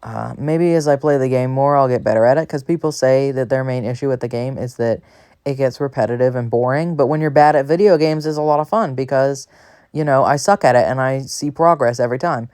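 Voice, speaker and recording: A man's voice: medium pitch, fairly quick, a little mellow, smooth, no echo. Chatty guy, close dry sound. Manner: self-deprecating, chatty, easily sidetracked. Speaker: gaming video creator. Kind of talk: casual rambling gameplay commentary.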